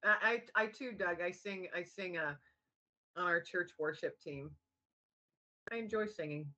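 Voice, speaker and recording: An American woman guest on a podcast, upbeat and encouraging, with a low raspy, gravelly voice. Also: measured pace, pushed and bright, muffled recording. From United States. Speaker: guest, author. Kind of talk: casual chat.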